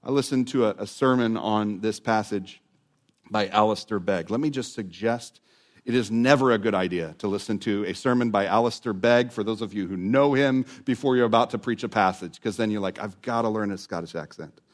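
A male pastor preaching a sermon, dropping to a near whisper and then rising again, stretching out key words. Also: fairly low pitch. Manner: dramatic swings from loud to hushed, drawn-out words.

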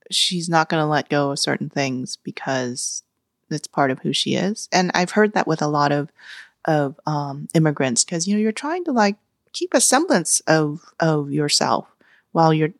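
A woman in her forties, born in Vietnam, telling family stories in a relaxed interview, laughing often and acting out what her relatives said. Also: sits low, measured pace, creaky, no echo, studio quality. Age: 40s. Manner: animated, laughs often, acts out family quotes. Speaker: guest. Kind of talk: casual storytelling interview.

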